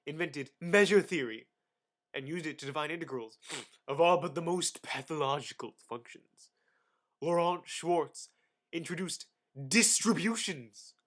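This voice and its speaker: A man with a somewhat high voice performs a dramatic reading of dry reference prose. He is mock-grandiose, swelling suddenly for emphasis and dropping back with theatrical pauses. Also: a bit bright. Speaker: amateur reader.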